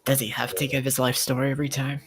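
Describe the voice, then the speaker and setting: A man's voice: mid-range voice, little pitch movement, quick pace, crowd noise. A man playing a tabletop roleplay game, tossing in casual, slangy, profane and teasing commentary.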